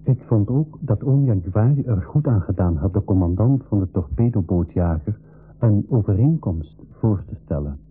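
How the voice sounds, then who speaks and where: A man's voice: lowish voice, lively intonation, moderate pace, slightly rough, no room sound. A man playing an earnest narrator who recounts his own adventure in a scripted radio play. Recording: dull old radio recording with music.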